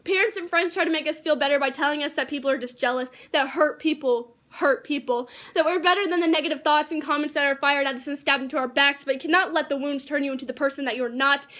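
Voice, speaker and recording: Fast, high-voiced teenage girl reciting slam poetry. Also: a bit bright. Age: teens. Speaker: amateur slam poet.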